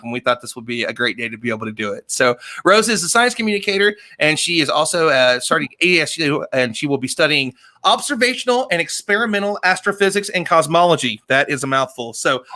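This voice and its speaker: A man's voice: on the high side, expressive, fast talker, hardly any pauses, pushed and bright. A man in his thirties hosting a casual live-stream interview in an enthusiastic, effusive way. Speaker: show host, interviewer. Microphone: desk microphone, headset, on a video call.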